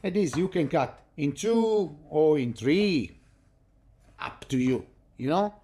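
A man's voice: fairly high pitch, animated, slow, dark tone, slight background noise. A middle-aged Italian man with an Italian accent, chatting casually in non-native English as he demonstrates a recipe in his home kitchen. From Italy. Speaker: cooking show host.

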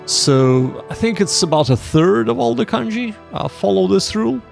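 A man hosting a livestream, chatting casually and explaining things to viewers in a halting way, often repeating himself. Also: sits high, sing-song, gravelly, faint music behind. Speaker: livestream host of a Japanese study session.

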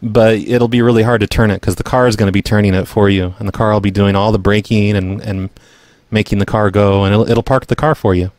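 A man's voice: lowish voice, fairly even intonation, a little fast, a little mellow, no echo. Casual man with a dry, close sound. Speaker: prank call show host. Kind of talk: casual podcast talk and prank calling.

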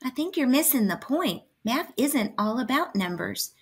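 A woman's voice: high-pitched, big pitch swings, moderate pace, breathy edge, heavily acted. A woman voicing a curious, cheerful alien who patiently explains math in a children's storybook. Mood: encouraging. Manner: inquisitive, encouraging, explanatory.

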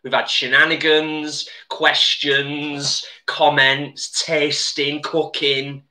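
A man's voice: fairly high pitch, flat delivery, drawn-out words, bright, forceful tone. A man chatting casually on a live cooking stream from his home kitchen, laughing often and reading out viewer comments.

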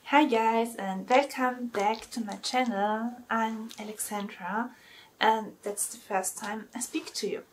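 A woman in her thirties, a native German speaker, speaks slowly and hesitantly to camera in a bright room at home, stopping for fillers as she picks her words. Music plays clearly under her voice. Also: on the high side, fairly even intonation. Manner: hesitant, careful non-native English with fillers. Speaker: vlogger. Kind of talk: first-time talking vlog.

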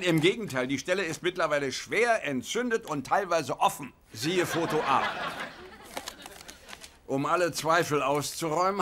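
A man's voice: fairly high pitch, lightly acted. A man playing a fussy, pedantic middle-aged man. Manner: formal, pedantic letter-reading delivery. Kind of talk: German TV sitcom dub. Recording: noisy dubbed TV audio with laugh track.

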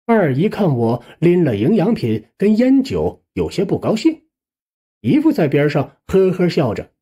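A man narrating a scripted first-person suspense audiobook in a conversational, expressive way. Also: on the high side, a little slow, husky.

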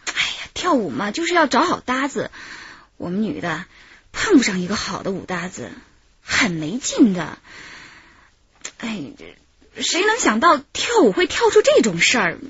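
A woman's voice: mid-range voice, husky. An actress playing a chatty, self-pitying housewife in her thirties in a radio drama, rambling and gossipy with dramatic sighs. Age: 30s. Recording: muffled, roomy radio drama recording.

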